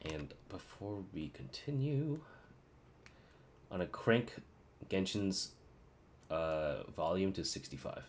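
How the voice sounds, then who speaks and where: A man's voice: low voice, sing-song, takes it slowly, long pauses, slight background noise. A man doing casual live-stream gaming commentary, muttering and thinking aloud to himself. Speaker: game streamer.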